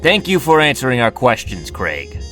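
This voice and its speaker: A man's voice: medium pitch, wildly swooping pitch, moderate pace, fairly bright tone, faint music behind. A man playing a stern, weary, formal police detective in a 1920s radio play. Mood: polite.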